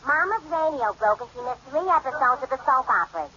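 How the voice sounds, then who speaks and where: A woman's voice: high voice, expressive, fast, bright, forceful tone. An actress in a 1940s radio comedy playing a brash young woman who makes sarcastic cracks and gossips on the telephone. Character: brash, chatty young woman hogging the phone. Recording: muffled old radio broadcast, heavy hiss.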